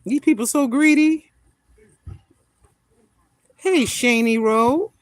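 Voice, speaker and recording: A woman in her sixties chatting casually with viewers on a live stream, greeting them by name and talking her thoughts through out loud. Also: high voice, slow pace, dry recording. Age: 60s. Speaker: vlogger greeting live chat.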